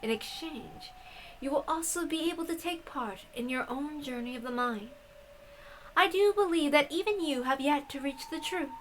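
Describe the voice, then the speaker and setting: A woman voicing an ancient, frail, haughty witch from a visual novel, speaking quietly in measured, formal, imperious phrases. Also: sits high, a little hiss or hum, voice pushed up, lightly acted, rough recording. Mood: serene, superior. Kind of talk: visual novel read-aloud.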